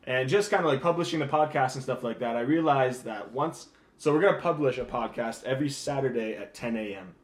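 A man's voice: mid-range voice, fairly quick. Rambling young man, roomy echo. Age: young adult. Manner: rambling, loose explanations with many fillers. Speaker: podcast co-host. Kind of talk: casual podcast chat.

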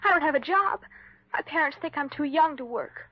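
An actress playing a miserable, ashamed teenage girl in a 1950s radio drama, speaking in a hesitant, plaintive, breathy way. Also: high-pitched, animated, fairly quick, no room sound. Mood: sad, bewildered. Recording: dull lo-fi 1950s radio recording.

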